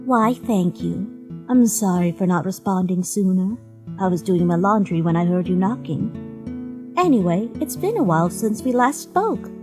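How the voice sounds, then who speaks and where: A woman's voice: mid-range voice, animated, a little slow, close to an everyday voice. A woman voicing a caring, motherly female monster in a comic dub, speaking politely and formally like a soothing storyteller. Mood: courteous, caring. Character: gentle, caring, courteous motherly female monster behind a door. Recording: music behind the voice.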